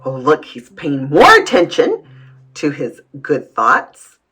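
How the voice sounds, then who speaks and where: A woman reading a picture book aloud to young children, adding gentle, encouraging comments and addressing them as friends. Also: deep voice, huge pitch swings, slow, over music. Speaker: children's read-aloud host.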